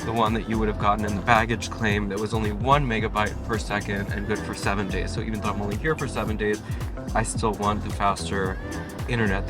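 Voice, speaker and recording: A man narrating a casual travel vlog, chatty and upbeat, talking to viewers as he moves from an airport to a car. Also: on the low side. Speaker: travel vlogger. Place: airport terminal, then car on the road.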